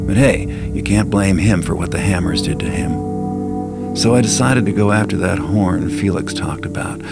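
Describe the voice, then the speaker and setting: A cynical, self-reliant thief tells his story. The voice is very deep, with a gravelly creak. He speaks in a dry, understated noir manner suited to a video game cutscene, with prominent music behind him. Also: normal speed. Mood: wry. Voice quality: low, gravelly. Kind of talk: noir-style scripted game cutscene narration.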